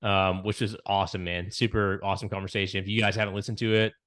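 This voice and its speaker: A man's voice: fairly low pitch, flat delivery, fast, fairly bright tone. A man hosting a podcast, talking in a friendly, upbeat, bro-ish way. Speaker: podcast host. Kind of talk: casual conversation.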